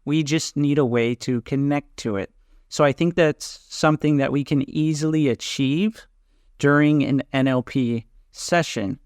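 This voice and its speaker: A man's voice: on the high side, unhurried, clear, resonant tone, no room sound, clean studio sound. A man hosting a podcast interview, asking scripted questions in an upbeat way. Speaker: podcast host.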